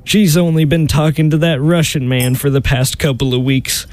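A man's voice: fairly high pitch, steady tone, moderate pace, a little mellow, close to an everyday voice. An actor playing a lovesick, folksy Southern man in a hammy comic radio play. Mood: plaintive, folksy.

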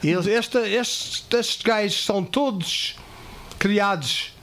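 An American man with a somewhat high voice, a native English speaker of Portuguese descent, gives a radio studio interview in unsteady Portuguese. He halts, searches for words and slips into English now and then. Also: takes it slowly, a bit bright. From California, third-generation Portuguese-American. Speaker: festival committee guest.

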